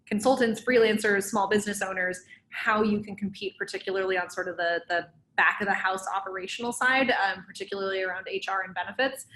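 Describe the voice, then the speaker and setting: A woman's voice: medium pitch, fairly bright tone, slight background noise, rough recording. A woman answering fluently on a video-call panel, running through points like a list. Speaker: panel guest, startup CEO. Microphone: video call webcam.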